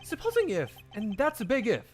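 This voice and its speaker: Man putting on a high-pitched, haughty rival prosecutor. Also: wildly swooping pitch, a little fast, a little mellow, faint music behind. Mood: smug, defensive. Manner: theatrical, emphatic, defensive. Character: smug, haughty male rival prosecutor, flustered when cornered.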